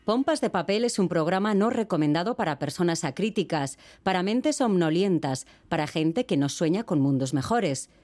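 A woman's voice: on the low side, animated, normal speed, hardly any pauses, a little mellow. A woman reading scripted radio introductions and narration as an announcer. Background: background music.

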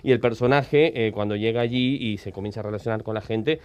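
A man's voice: lowish voice, fast talker, a little mellow, clear, resonant tone. A middle-aged man, a writer talking reflectively in an interview, often checking in with little tag questions. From Argentina. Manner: thoughtful, often seeking confirmation with tag questions. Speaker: writer being interviewed, writing teacher.

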